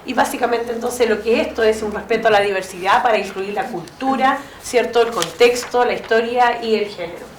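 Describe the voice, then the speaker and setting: Woman, interpreter, rapid delivery, filler-heavy, echoey room. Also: on the high side, slight background noise. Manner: quick, with frequent "eh" and "¿cierto?" fillers. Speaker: interpreter and moderator. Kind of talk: consecutive interpreting.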